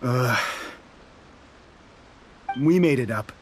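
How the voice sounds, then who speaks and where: A man's voice: mid-range voice, lively intonation, drawn-out words, a little hiss or hum. A man voicing a hot-headed, defiant resistance soldier in a video game, with an impassioned, challenging delivery. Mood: confessing. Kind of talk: dramatic video game voice acting.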